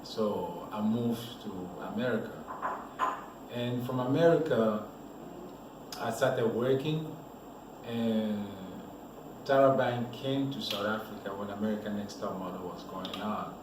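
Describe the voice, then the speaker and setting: Low-voiced man, distant and echoey. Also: a little slow. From Cameroon. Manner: relaxed, rambling storyteller with restarts. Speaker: model, interview guest. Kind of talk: casual storytelling interview. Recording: echoey room, voice from a distance, noisy background.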